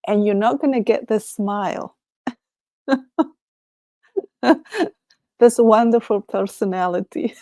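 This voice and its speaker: Woman with a Chilean Spanish accent, musing livestream host, rambling, laughs often, dry close sound, mid pitch. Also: slow pace, long pauses, dark tone, no echo. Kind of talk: casual solo livestream chat.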